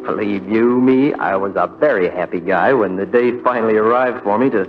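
An actor playing a haunted, heartbroken young man, telling his story with halting, conversational pauses in 1940s radio drama style. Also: lowish voice, natural voice. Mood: wistful, rueful. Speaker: narrator character. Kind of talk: 1940s radio drama first-person narration. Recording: muffled vintage radio broadcast with music.

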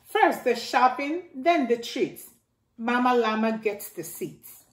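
Slow sing-song woman reading to kids. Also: a little high, expressive. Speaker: storytime reader. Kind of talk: reading a rhyming picture book aloud. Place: living room by a staircase, indoors. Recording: echoey room.

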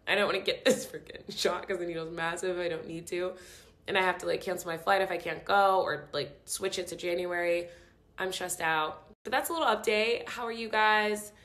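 A young woman in her twenties recording a casual phone update for her followers, bubbly and playful, sometimes going soft and confiding. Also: on the low side, a little fast. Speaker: social media personality. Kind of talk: casual selfie video update. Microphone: phone camera held at arm's length.

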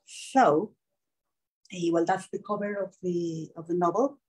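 A middle-aged woman from Mexico with a Mexican accent, a Spanish speaker giving a lecture in English from a prepared text, reading quotations slowly and carefully. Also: on the low side, darkish tone, slightly rough, low-quality recording. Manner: measured, reads quotations slowly. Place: seminar room with projection screen.

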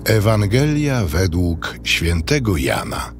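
A man narrating a scripted biblical audio drama in a solemn, measured manner. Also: deep voice, sing-song, music in the background.

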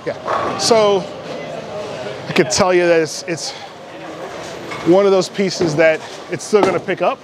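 A man's voice: high voice, steady tone, unhurried, pauses often. A middle-aged American man casually reviewing and demonstrating a product in a bowling alley, explaining in short conversational bursts. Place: large indoor bowling alley. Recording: clattering bowling alley noise. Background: bowling alley clatter and ambience.

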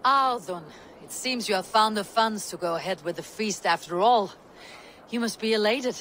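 Woman acting a stern husky Viking warrior. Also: mid-range voice, moderate pace, a bit bright, a little hiss or hum, close to an everyday voice. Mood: composed. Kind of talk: video game dialogue acting.

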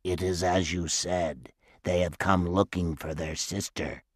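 A low, servile dwarf underling, voiced by a male narrator, murmuring slowly and deferentially to his lord. Dry, close storybook recording. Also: little pitch movement, dry recording. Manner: hushed and deferential.

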